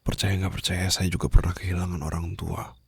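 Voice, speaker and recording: Bos mafia bersuara sangat berat dan serak, diperankan seorang pria. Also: male voice, low-pitched, level tone, exaggerated voice. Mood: gentle, consoling. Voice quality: breathy, husky, gravelly. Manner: slow, hushed, formal and soothing, drifting into whispers. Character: brooding young male mafia boss, commanding yet tenderly protective. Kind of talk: ASMR roleplay acting, intimate monologue. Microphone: close studio microphone, dry.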